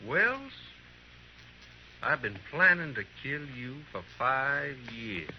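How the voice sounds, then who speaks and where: An actor playing a taunting, threatening gunfighter out to kill, in 1950s radio western style. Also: male voice, medium pitch, very animated, takes it slowly. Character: menacing, taunting gunfighter set on a killing. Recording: dull, noisy old radio recording.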